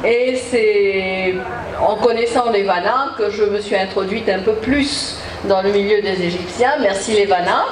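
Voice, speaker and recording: A woman's voice: mid-range voice, a little slow, background noise. Hesitant woman in an echoey hall. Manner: hesitant, with many fillers. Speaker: congress participant introducing herself. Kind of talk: informal speech to an audience. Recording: echoey hall audio from far off.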